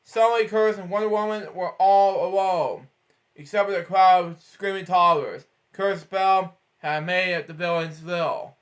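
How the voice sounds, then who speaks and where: A man's voice: high-pitched, steady tone, measured pace. A man reading a children's storybook aloud, stumbling over words and breaking off for rambling asides of his own. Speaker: storybook reader.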